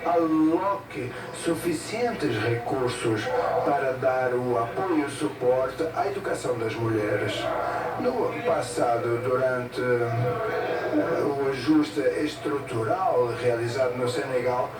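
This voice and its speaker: A man, interpreting a speech at an event in short, halting phrases with pauses. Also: medium pitch, very noisy. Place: event hall with an audience. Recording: echoey hall from far off, loud music behind.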